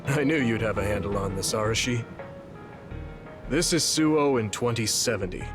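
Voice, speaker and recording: An actor playing a weary, blind old retired hero in a video game, speaking gravely and slowly measured, full of regret. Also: male voice, lowish voice, over music, close to an everyday voice. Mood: wary, recognizing. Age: older. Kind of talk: dramatic video game voice acting.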